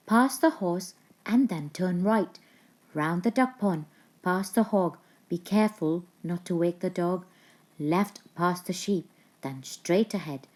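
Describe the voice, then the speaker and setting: A woman's voice: on the low side, a little mellow. A woman voicing scheming male cattle thieves in a children's storybook, plotting in an emphatic, conspiratorial manner.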